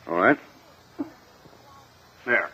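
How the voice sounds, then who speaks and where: An actor playing a grudging, skeptical male witness in a 1950s radio courtroom drama, offhand and matter-of-fact. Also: very slow. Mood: reluctant, dismissive. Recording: dull, lo-fi vintage radio recording.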